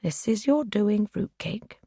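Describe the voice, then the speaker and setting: A woman voicing an obsessive male captor who speaks in soft, breathy whispers. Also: fairly low pitch, animated, a little slow, no echo, studio quality, full character voice. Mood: cold whisper.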